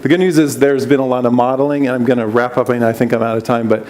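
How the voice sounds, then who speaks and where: An enthusiastic man presents at a conference forum. He talks through his slides quickly, with loose, restarting phrases. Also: mid-range voice, fairly even intonation, a little mellow, slight background noise. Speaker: conference speaker.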